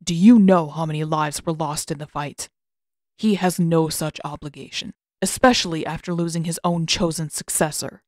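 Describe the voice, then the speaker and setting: A woman voicing a stern, reserved older male master of water magic in a formal, measured, unyielding manner for a story. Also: sits low, fairly even intonation, a little mellow, dry recording, studio quality, pitched below the performer's own voice, lightly acted. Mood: grave.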